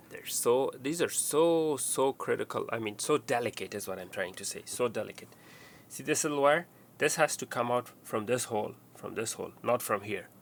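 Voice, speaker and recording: Male tutorial presenter, mid-pitched and bright, explaining hands-on steps off the cuff, with repeats and rephrasing. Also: slight background noise. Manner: halting, repeats and rephrases instructions.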